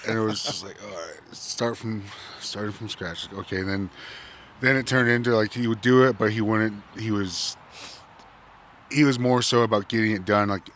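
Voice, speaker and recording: Hesitant low-voiced chef telling a story. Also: male voice, fairly even intonation, normal speed, background noise. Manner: hesitant, filler-heavy storytelling. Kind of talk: casual podcast chat.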